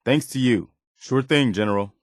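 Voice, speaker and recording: An actor playing an earnest, loyal militia soldier who protects settlers, speaking plainly as a video game companion. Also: male voice, mid-range voice, moderate pace, dry recording, studio quality, lightly acted. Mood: obliging.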